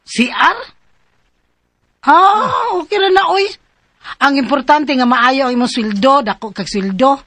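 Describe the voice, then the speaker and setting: A woman's voice: sits high, animated, measured pace, pauses often, a bit bright, no echo. An actress with a Filipino Cebuano accent playing a fed-up, pushy, whining poor rural wife and mother in a radio drama. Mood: eager, coaxing. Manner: whining, pushy, punctuated with exclamations.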